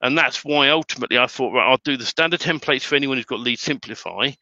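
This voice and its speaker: A middle-aged British man with a very bright, forceful voice, chatty and prone to repeating words as he gets going. The audio is dry and slightly dull, lo-fi. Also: medium pitch, fast, dry recording. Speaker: webinar guest, template trainer. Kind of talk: casual chat.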